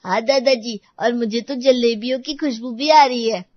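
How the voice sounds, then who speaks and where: A woman voicing a cheeky, eager little boy in an animated family story. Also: a little high, moderate pace, dry recording, dull audio, close to an everyday voice. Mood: excited.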